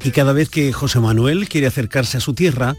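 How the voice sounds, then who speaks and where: A man's voice: mid-range voice, a little fast. A man from Andalusia hosting a radio program, presenting and interviewing a guest in a chatty, questioning way. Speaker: radio host. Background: music bed.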